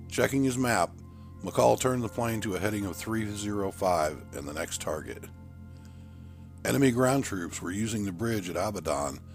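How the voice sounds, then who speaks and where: A man with a low, deep voice narrates a military action story. His delivery is scripted and dramatic, with measured, deliberate phrasing. Music plays behind him. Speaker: audiobook narrator.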